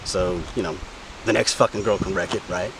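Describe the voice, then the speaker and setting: A man's voice: low-pitched, steady tone, a little fast, background noise. An actor playing a brash, womanizing friend who talks in casual, slangy, foul-mouthed rambles in a film drama. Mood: sheepish, cajoling. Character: brash, womanizing male buddy asking a favor.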